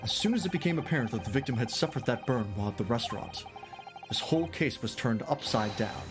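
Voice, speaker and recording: Streamer's own voice for a rookie lawyer, earnest, lively, game music behind. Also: mid-range voice, fast, lightly acted. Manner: earnest and flustered. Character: young rookie defense lawyer. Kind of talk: reading game dialogue aloud.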